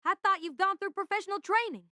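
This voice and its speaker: A woman voices an eager young male senpai with a high, bright voice. He is a friendly, chatty tinkerer, earnest and full of questions, recorded dry and close in audio drama style. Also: fast talker, no room sound, full character voice. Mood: curious, earnest.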